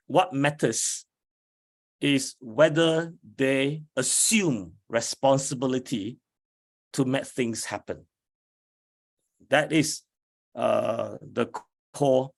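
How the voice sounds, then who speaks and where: A man, an academic, giving a slide lecture in a hoarse voice, speaking deliberately with many fillers. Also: mid-range voice. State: hoarse from flu.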